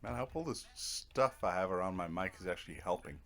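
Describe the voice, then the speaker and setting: A man's voice: low-pitched, animated, fast talker, a bit bright. A man giving casual playthrough commentary as host of a gaming video, with offhand, mildly mocking asides.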